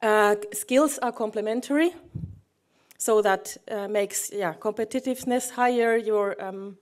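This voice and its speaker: Hesitant woman from Finland giving a presentation. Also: on the high side. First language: not English. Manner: hesitant, halting delivery. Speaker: project manager presenting. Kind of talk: conference presentation. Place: conference room.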